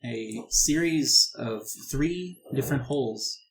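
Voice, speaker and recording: A man acting as game master, improvising narration of a tabletop game in a halting, explanatory way. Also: mid-range voice, animated, gravelly, noisy background.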